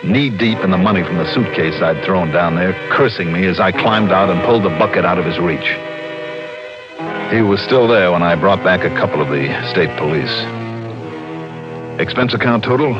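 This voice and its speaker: A man's voice: low-pitched, moderate pace, music in the background, natural voice. An actor playing a cool, wry investigator narrating his case in a 1960s radio detective drama. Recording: muffled old radio recording.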